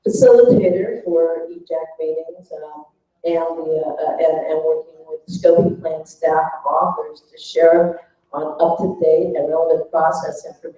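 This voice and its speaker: A woman presenting her group's notes at a workshop, speaking haltingly as the group's spokesperson. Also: low voice, mellow. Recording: dull, very echoey room, distant mic.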